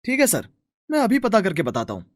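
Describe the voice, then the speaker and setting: A man's voice: sits high, huge pitch swings, fairly quick, no room sound, studio quality. A man voicing a dutiful factory manager in a moral-story cartoon, deferential as he explains things to his boss.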